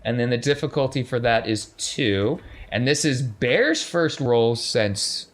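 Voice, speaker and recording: A man's voice: medium pitch, expressive, a little slow. A man running a tabletop role-playing game as game master, encouraging and affirming his players. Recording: noticeable background noise.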